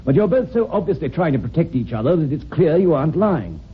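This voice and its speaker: Shrewd radio inspector, lively intonation. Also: male voice, fairly high pitch, husky, exaggerated voice. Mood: explaining. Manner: measured, wry, authoritative. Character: shrewd, unhurried police inspector explaining the case. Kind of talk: old-time radio drama acting. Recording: dull, hissy old radio recording.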